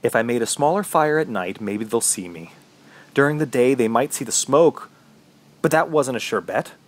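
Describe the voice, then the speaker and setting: Husky, desperate stranded man played by a man. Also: mid-range voice, lively intonation, normal speed, close to an everyday voice. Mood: tense, resolving. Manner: dramatic, self-questioning, swinging from muttering to shouting. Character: trapped, injured man fighting to survive, desperate and rattled. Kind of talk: first-person horror audio drama narration.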